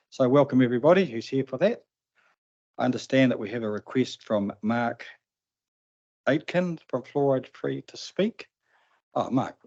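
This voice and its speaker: A man's voice: medium pitch, a little mellow, no room sound. New Zealand man chairing a meeting. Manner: plain, informal, with restarts. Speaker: committee chair. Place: council chamber. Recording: slightly dull, dry council meeting recording.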